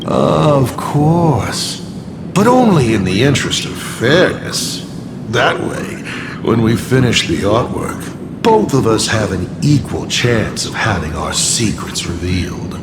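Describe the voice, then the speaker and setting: A man voicing a scheming artist villain in a video game, with a grandiose, measured, taunting delivery. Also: medium pitch, big pitch swings, background noise. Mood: smug, theatrical. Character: theatrical scheming male artist villain playing games of secrets. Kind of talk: dramatic video game acting. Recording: loud music behind. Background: loud music.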